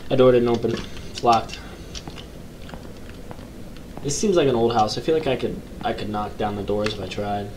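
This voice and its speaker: A young man with a low voice talks through a horror game, cracking jokes and reading the on-screen text aloud. His tone is deep, and he puts on a nervous mock bravado. A steady hiss of background noise runs under his home recording. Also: moderate pace, long pauses. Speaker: gaming YouTuber. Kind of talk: horror game let's play commentary. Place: small bedroom, indoors.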